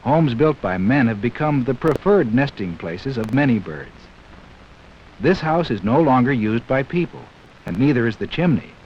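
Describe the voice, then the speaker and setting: A man narrating a scripted 1960s educational film in a measured, explanatory way, speaking directly to young viewers. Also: medium pitch, animated. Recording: dull, hissy 1960s 16mm film soundtrack.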